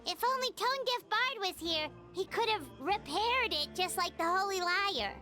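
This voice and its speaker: An extremely high, squeaky little companion creature from a video game. It is childlike and excitable, with wildly animated pitch. Music plays behind it. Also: female voice, unhurried. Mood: fretful. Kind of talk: English game voice acting.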